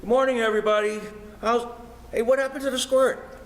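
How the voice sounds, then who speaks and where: An actor playing a breezy, casual teenage son in a 1940s-style radio play. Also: male voice, very high-pitched, fairly even intonation, brisk. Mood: breezy, eager. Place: live stage performance in a hall. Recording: echoey hall stage recording.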